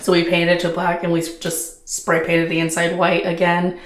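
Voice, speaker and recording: A young woman talking casually to her phone camera for her vlog, rambling and laughing, her voice hoarse from a cold. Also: lowish voice, monotone, normal speed, a little hiss or hum. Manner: chatty, rambling, laughs often. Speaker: book vlogger.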